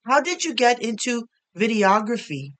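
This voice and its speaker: A woman hosting a radio show, introducing her guest and asking clear, plain interview questions. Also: a little high, sing-song, normal speed, a crowd in the background. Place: radio studio.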